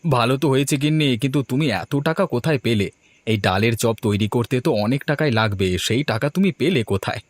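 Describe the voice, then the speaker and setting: A man's voice: medium pitch, expressive, fast, no echo, close to an everyday voice. Folksy village husband, acted by a man. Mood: puzzled. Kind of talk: cartoon story dialogue. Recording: music under the voice.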